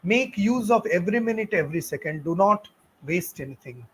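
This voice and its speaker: A man's voice: high voice, lively intonation, a little fast, slightly rough. A middle-aged man with an Indian accent, answering students' questions on a live stream in a chatty, encouraging way, with many fillers and laughs. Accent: Indian English. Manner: encouraging, fatherly advice to students. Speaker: physics teacher on a live stream.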